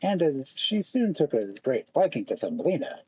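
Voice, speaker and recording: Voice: mid-range voice, big pitch swings, moderate pace, a little mellow, slightly rough. A storyteller narrating a fairy tale expressively, with dramatic, lingering phrasing.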